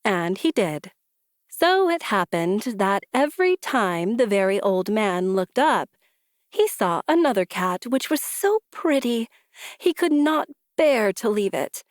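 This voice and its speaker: A woman reading a children's story aloud with deliberate, rhythmic, emphatic phrasing. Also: mid-range voice, very animated, dry recording, clean studio sound. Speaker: storybook reader. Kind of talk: expressive children's story narration.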